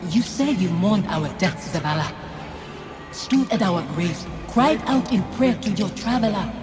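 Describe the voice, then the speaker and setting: A woman's voice: medium pitch, animated, measured pace, close to an everyday voice. A woman playing the accusing, bitter phantom of a dead wife and mother in a video game, speaking with solemn, taunting reproach. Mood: angry, accusing. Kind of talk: dramatic video game acting. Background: loud music.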